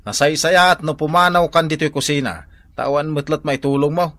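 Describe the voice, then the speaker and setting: A man playing a blunt, bossy older father in an Ilocano comedy radio drama, with a husky voice and an Ilocano accent. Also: a little high, flat delivery, brisk, a bit bright, no room sound, studio quality. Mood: bossy, irritated.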